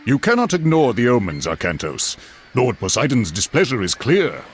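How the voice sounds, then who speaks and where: An actor playing an insistent, grave religious advisor in a mythological video game, urgent and portentous. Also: male voice, medium pitch, wildly swooping pitch, moderate pace, faint music behind. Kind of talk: video game cutscene acting.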